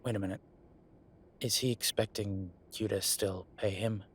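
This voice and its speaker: A man playing a gruff, sarcastic merman who runs a tattoo shop, speaking in a halting, conversational audio roleplay style. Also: lowish voice, fairly even intonation, slight background noise, close to an everyday voice. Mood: concerned, gruff. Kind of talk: naturalistic one-sided audio roleplay.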